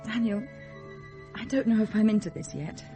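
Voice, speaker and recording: A woman's voice: medium pitch, moderate pace, mellow, faint music behind, close to an everyday voice. An actress playing an evasive, self-doubting young woman in a radio drama, hesitant and brittle, with an English accent. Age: young adult.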